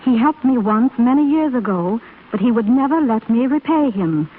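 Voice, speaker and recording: Refined, sorrowful widow female actor, fairly high voice, thin vintage radio recording. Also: animated, moderate pace, close to an everyday voice. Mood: puzzled, earnest. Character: grieving, genteel widow, emotional but gracious. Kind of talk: 1930s radio drama acting. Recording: scratchy, tinny 1930s radio broadcast recording.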